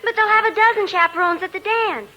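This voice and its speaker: An actress playing a pleading, exasperated teenage daughter in a 1950s radio comedy. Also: female voice, extremely high pitch, fast talker, pushed and bright. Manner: pleading, whiny. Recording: very noisy 1950s radio recording.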